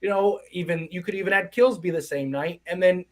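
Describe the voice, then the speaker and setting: Casting note: thirtyish man with a high voice, animated live-stream co-host, rambling and restarting. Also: brisk. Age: 30s. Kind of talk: live-stream horror movie chat.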